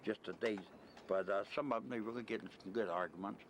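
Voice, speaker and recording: A man being interviewed, chatting in a casual, halting, folksy way as he explains his hobby. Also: on the low side. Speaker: interviewee, CB radio hobbyist who records chatter. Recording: noisy amateur field recording with clicks.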